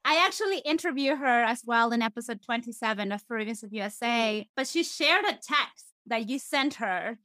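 High-voiced woman podcast host. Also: animated, moderate pace, few pauses, bright, forceful tone, dry recording. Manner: friendly, chatty, laughs along. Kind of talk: casual podcast interview.

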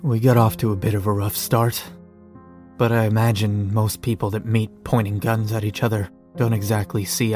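Man acting a low-voiced weary apocalypse survivor. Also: a little mellow, natural voice. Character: weary, profane male survivor narrating a zombie apocalypse diary. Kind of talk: first-person dramatic diary narration.

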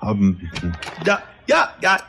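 An actor playing a glib, evasive newspaper reporter who stammers and rambles while juggling a phone call. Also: male voice, on the low side, wildly swooping pitch, slow pace, gravelly, music in the background, heavily acted. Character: brash fast-talking male newspaper reporter, evasive. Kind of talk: radio play acting.

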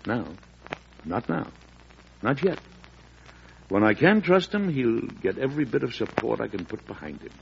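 An actor playing a fatherly, principled early American president in old-time radio drama, speaking with measured earnestness that turns oratorical when roused. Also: mid-range voice, animated, creaky. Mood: guarded, firm. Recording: dull, noisy vintage radio recording.

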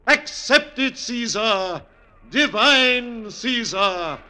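An actor playing a pompous Roman ruler declaiming grandly in a theatrical 1950s radio drama style. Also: male voice, extremely high pitch, lively intonation, extremely slow, bright, forceful tone, clean tone, exaggerated voice. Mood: grandiose, proclaiming.

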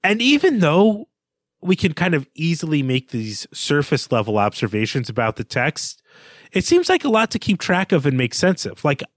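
A man's voice: a little high, very animated, moderate pace, no echo, clean studio sound. A man co-hosting a literary podcast, reading a passage aloud and then thinking through the names in it. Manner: reads aloud from the novel, then muses over names. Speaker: podcast co-host.

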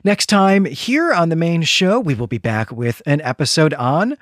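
A man's voice: a little high, expressive, normal speed, no echo, clean studio sound. A man co-hosting a literary podcast, speaking in an articulate, reflective and appreciative way. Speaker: podcast co-host. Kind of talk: literary discussion podcast, casual analytical chat.

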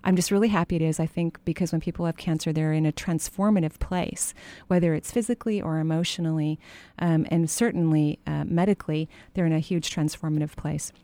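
A woman's voice: low-pitched, steady tone, a little fast, dark tone, no echo. A middle-aged woman hosting a call-in radio show, giving caller advice in a chatty, laughing, storytelling way. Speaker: radio show host and energy healer.

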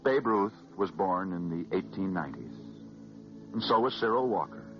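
An American man telling stories as a 1940s radio host, scripted and dramatic, with emphatic repetition and weighty pauses. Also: deep voice, lively intonation. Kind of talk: 1940s radio sports storytelling, scripted narration. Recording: muffled vintage 1940s radio recording. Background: music.

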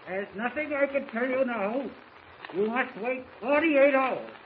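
An actor playing a solemn, evasive impostor posing as a superhero, commanding and portentous, in 1940s radio style. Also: male voice, very high-pitched, measured pace. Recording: muffled old radio recording, faint noise.

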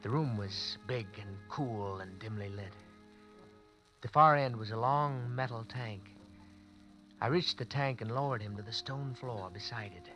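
A hushed, guilty killer narrating in an old radio play. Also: male voice, mid-range voice, a little slow, poor audio. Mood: hushed tense. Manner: hushed, tense narration, often whispered. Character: nervous young man narrating his crime, guilty and tense. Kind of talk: 1940s radio acting, first-person narration. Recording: old radio drama with music underneath.

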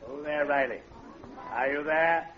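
A man plays a courtly, punning undertaker from an old radio sitcom. He speaks very slowly and floridly in a fairly high male voice, with gloomy good cheer. The recording is muffled and very noisy. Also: natural voice. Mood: genteel greeting. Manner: slow, formal, florid, unctuous delivery. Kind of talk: 1940s American radio sitcom acting.

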